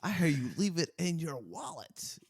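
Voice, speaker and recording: Male co-host, playful ribbing, quick laugh, casual. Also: fairly high pitch, sing-song, vocal fry, slightly rough. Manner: teasing, laughs often. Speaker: podcast co-host. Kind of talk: casual chat.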